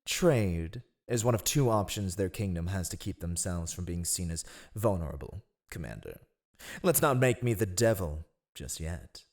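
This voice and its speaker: Casting: man to play ruthless fantasy spymaster, smoothly sardonic, sly courtly deference, swooping intonation. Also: low voice, lively intonation, normal speed. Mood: sly, persuasive. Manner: sly, mocking, theatrically deferential. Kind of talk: fantasy audio roleplay acting.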